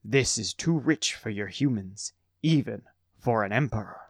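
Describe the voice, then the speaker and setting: A man's voice: fairly low pitch, lively intonation, a little slow, slightly rough, no echo, full character voice. A proud, fussy halfling chief cook, folksy and boastful, as a man puts him on. He brags about his stew and bursts into theatrical wails. The sound is dry and close. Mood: proud, knowing.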